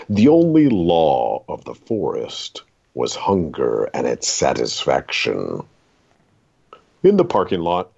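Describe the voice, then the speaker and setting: A man with a gravelly, husky voice reading a literary short story aloud in a dramatic, deliberate way. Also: sits low, warm tone, muffled recording.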